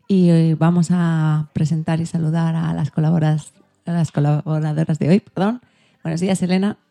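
A woman hosts a podcast. Her voice is very dark and mellow, with none of the sharp edge of a bright announcer, and she delivers prepared introductions. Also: low voice, fairly even intonation, moderate pace, creaky. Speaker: podcast host. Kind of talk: scripted podcast presentation.

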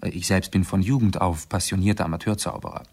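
An actor playing an urbane, self-assured aristocratic amateur sleuth in a German radio play, explaining precisely and theatrically. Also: male voice, low voice, fairly even intonation, fast talker, darkish tone, slightly rough. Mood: composed, satisfied.

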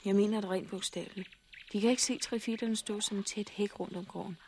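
An actress in a 1960s radio drama playing a steady, practical, tender farm wife, earnest and composed. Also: female voice, medium pitch, slight background noise, lightly acted.

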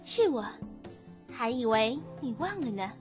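A woman's voice: fairly high pitch, very animated, measured pace. A woman playing a bold, girlish dragon princess, pert and teasing, in a Chinese fantasy radio drama. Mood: teasing pout. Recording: music under the amateur drama voice. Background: background music.

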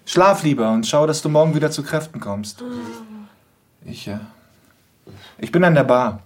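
A man's voice: a little high, expressive, moderate pace, lightly acted. A male actor in a radio play playing a blunt, matter-of-fact man who looks after a shaken colleague. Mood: firm.

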